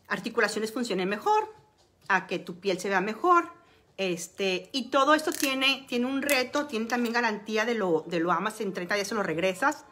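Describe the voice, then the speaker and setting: A chatty woman talks straight to her phone camera in a small room at home. Her voice sits a little high and sounds bright and forward. She rambles casually, filling pauses with fillers as she shows products. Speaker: beauty and wellness vlogger. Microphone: phone camera at arm's length.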